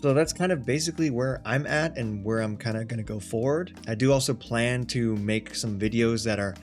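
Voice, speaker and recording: A man's voice: medium pitch, a little fast. A man in his thirties from Thailand, talking casually and self-deprecatingly about his life in a personal vlog. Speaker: actor and content creator. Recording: faint background music.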